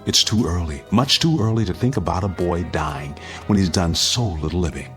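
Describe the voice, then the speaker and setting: An American man playing an anxious but steady father in a radio drama, speaking in a firm, earnest, commanding way. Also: sits low, normal speed, soft background music. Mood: grave, earnest. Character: anxious but steady father preparing family for nuclear attack.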